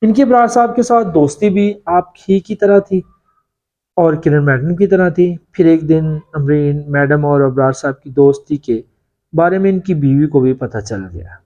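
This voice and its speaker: Male narrator as old-hand factory worker, earnest informant, deferential, hushed-secret manner, soft dark timbre, audio novel. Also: a little high, moderate pace, lo-fi sound, natural voice. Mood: grave confiding. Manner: confiding, cautioning, deferential.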